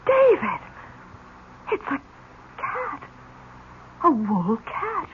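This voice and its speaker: A curious, anxious woman with a high voice and animated intonation. She is prodding and dramatic, in 1970s radio drama on a tinny recording. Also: very slow, noisy background, heavily acted. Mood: urgent, uneasy. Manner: dramatic, questioning.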